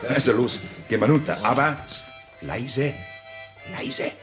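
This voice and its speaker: A man's voice: mid-range voice, unhurried, music in the background, natural voice. A man playing a sensible, mildly worried guest with a Bavarian accent, hesitant yet urging, in a radio play.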